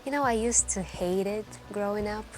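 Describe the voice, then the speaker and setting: A woman playing a wistful, lonely stranger in an intimate, soft-spoken ASMR roleplay, hesitant and confiding as she rambles. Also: mid-range voice, moderate pace, close to an everyday voice, low-quality recording. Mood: shy, warm. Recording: soft background music. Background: quiet background music.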